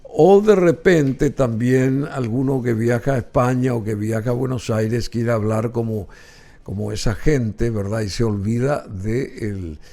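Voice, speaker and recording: Paraguayan guy hosting a radio interview, gravelly dark voice, lots of ums. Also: medium pitch, unhurried. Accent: Paraguayan Spanish. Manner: warm, effusive thanks, rambling with many fillers. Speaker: radio host.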